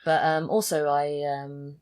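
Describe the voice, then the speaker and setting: A woman talks as a guest in a casual chat. Her voice is notably low for a woman. She speaks informally, as in conversation. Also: flat delivery, a little slow, a little mellow. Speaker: podcast guest, audio drama writer and voice actor.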